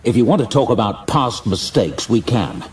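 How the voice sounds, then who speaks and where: A male narrator voicing a wry, determined older male archmage who stays calm under pressure. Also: medium pitch, moderate pace, far from the mic, slight background noise, close to an everyday voice. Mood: wry, light. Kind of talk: audiobook character voice.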